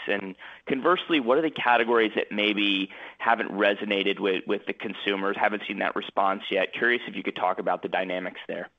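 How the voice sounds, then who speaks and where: A man calling in as an analyst, asking curious, direct questions on an earnings call. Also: fairly low pitch. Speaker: analyst caller. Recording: muffled phone line.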